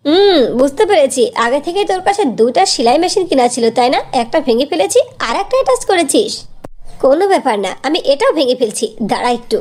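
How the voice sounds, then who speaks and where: A woman's voice: high voice, sing-song, fast talker, darkish tone. A woman voicing a cruel, suspicious stepmother in a cartoon fairy tale, accusing and sneering. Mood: scornful, suspicious.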